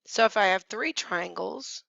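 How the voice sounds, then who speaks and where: A woman math teacher who has nearly lost her voice, hoarse and at times whispering, patiently talking through each step of a screencast lesson. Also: mid-range voice, measured pace, fairly bright tone, no echo. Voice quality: hoarse, gravelly, sometimes dropping to a whisper. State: losing her voice. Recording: dry, dull lo-fi screencast audio.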